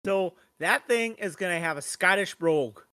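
A man co-hosting a casual podcast, dropping slow, dry wisecracks. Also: sits high, bright, forceful tone, clean tone, no echo. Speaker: podcast co-host.

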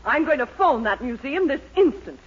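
An actress playing a proud, imperious, middle-aged American matron with indignant delivery in a 1940s radio sitcom. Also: female voice, high-pitched, expressive, normal speed. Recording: noisy, dull 1940s radio recording.

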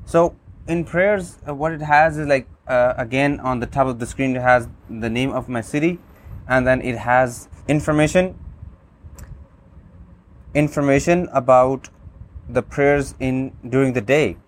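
A man whose first language is Urdu, calmly demonstrating a phone app, with many fillers and restarts. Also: medium pitch, unhurried. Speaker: app reviewer.

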